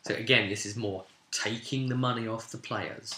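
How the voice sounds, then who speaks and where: A man's voice: on the low side, steady tone, moderate pace, sharp tone, slight background noise. A man casually retelling a tabletop role-play session as game master, rambling and informal, slipping into bits of dialogue. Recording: echoey room.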